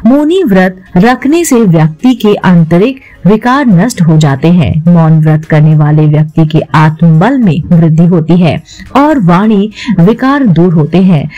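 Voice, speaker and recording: A woman hosting a devotional channel, reading a religious explainer as a voice-over in a steady, instructive way and calling her viewers friends. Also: on the low side, rough recording. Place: voice-over over stock footage.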